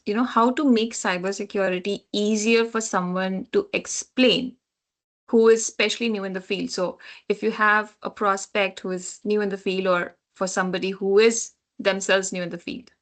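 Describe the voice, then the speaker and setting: A woman's voice: mid-range voice, steady tone, normal speed. Woman moderating webinar questions. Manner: relays questions, then adds brief comments. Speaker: moderator reading audience questions.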